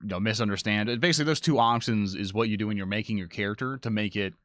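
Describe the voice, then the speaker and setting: A man's voice: lowish voice, racing, a bit bright, no room sound. A man co-hosting a livestream, chatting informally and enthusiastically with the viewers. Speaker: livestream co-host, social media producer. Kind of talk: casual livestream chat addressing viewers.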